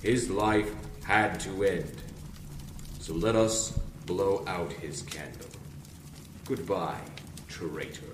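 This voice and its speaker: Actor as a low, measured cult leader. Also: male voice. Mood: cold, solemn. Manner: formal, measured oratory. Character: cold, solemn male cult leader, a villain. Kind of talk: noir audio drama acting. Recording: echoey room, distant mic, ambient noise.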